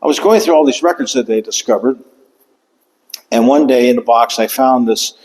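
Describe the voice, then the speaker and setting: An older man speaks at a mid pitch, giving an author talk to a room. He talks in a halting way, full of fillers, restarts and asides. The sound rings with a long hall reverb. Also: a little mellow. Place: lecture room.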